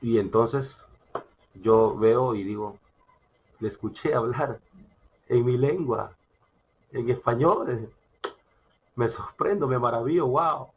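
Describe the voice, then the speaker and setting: A man with a Peruvian accent preaching a sermon, emphatic and halting, repeating phrases as he teaches from scripture. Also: mid-range voice, a little hiss or hum. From Peru. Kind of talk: sermon with Bible reading and personal testimony.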